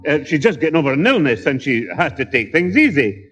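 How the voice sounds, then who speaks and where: A man's voice: on the high side, very animated, brisk, a bit bright. A man playing an evasive, hesitant family man who hems and haws in a 1960s radio comedy. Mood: shifty. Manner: hemming and hawing, shifty. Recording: old radio comedy before live audience.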